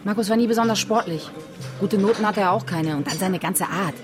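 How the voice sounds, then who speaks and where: A woman's voice: medium pitch, a little fast, lightly acted. Blunt, scornful woman over music, acted. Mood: dry, disdainful. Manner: dismissive, dry. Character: blunt, scornful sister, unimpressed by her brother. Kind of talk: naturalistic German radio crime drama acting.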